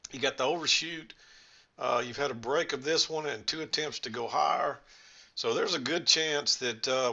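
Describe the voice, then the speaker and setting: A man's voice: mid-range voice, creaky, pushed and bright, dull audio. A man reviewing trading charts, rambling folksily as he thinks aloud, his voice a little scratchy. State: slightly scratchy voice. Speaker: trading instructor. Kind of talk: screen-share chart walkthrough.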